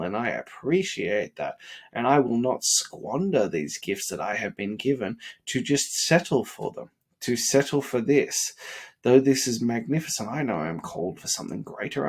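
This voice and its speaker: Man, 30s, stuffy and worn out, earnest motivational ramble with fillers, mid pitch. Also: breathy edge. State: congested and sniffly; tired. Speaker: vlogger. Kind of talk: late-night motivational vlog.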